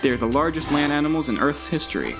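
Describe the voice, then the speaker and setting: A man's voice: a little high, big pitch swings, fast, over music. A man playing the recorded guide of a dinosaur park tour, giving scripted narration in a grand, instructive, theatrical announcer style. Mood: grand, informative.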